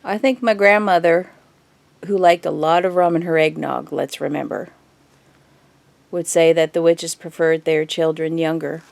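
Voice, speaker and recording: A woman playing a grave mother who tells an old family story in a measured, wistful way in an audio drama. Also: deep voice, fairly even intonation, vocal fry, a little mellow, dry recording. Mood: guarded.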